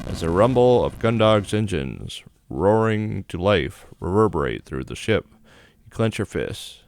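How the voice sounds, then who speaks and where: Low-pitched man with game music behind. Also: expressive, measured pace, creaky, darkish tone. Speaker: gaming video host. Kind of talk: game playthrough commentary, reading on-screen story text.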